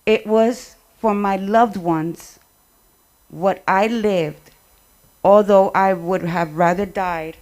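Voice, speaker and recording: A woman speaking at a community memorial event, delivering remarks and poetry in short, measured phrases. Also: mid-range voice, steady tone, darkish tone.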